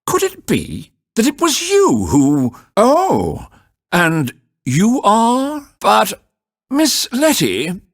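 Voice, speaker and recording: A man's voice: high-pitched, wildly swooping pitch, no room sound, studio quality, full character voice. A man voicing a stern, pompous elderly judge of a medieval witch trial in a theatrical video game style, formal and easily flustered. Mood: startled. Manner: formal, pompous, slow courtroom pronouncements, sputtering when surprised.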